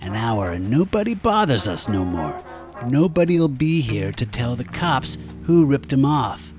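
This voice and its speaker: A man's voice: mid-range voice, sing-song, a little slow. A man playing a menacing bald biker thug in an audio drama, cocky and cruel, talking in sneering street-tough slang. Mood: cold menace. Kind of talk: radio-style audio drama acting. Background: music.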